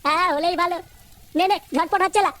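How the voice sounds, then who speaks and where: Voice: extremely high pitch, fast talker, pushed and bright, no room sound. An actor voicing a scheming, lovesick male cook in a comic cartoon. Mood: irritated, grumbling. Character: scheming lovesick male wedding cook, grumbling yet tender.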